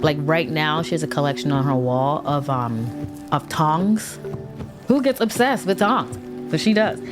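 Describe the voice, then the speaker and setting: Low-voiced woman hosting a podcast. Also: expressive, a little slow, music in the background. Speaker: podcast host. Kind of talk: podcast intro and interviewing.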